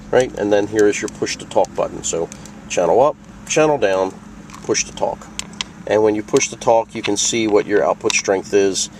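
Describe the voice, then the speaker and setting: A man's voice: on the low side, darkish tone, slight background noise. A man presenting a product tutorial in a casual, plain-spoken, conversational way, walking through things step by step. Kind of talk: casual instructional walkthrough.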